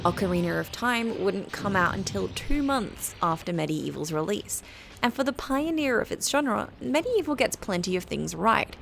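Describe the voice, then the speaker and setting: A British woman reading a scripted review as narration, in a measured, articulate and formal way. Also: mid-range voice, big pitch swings, vocal fry, a bit bright, slight background noise.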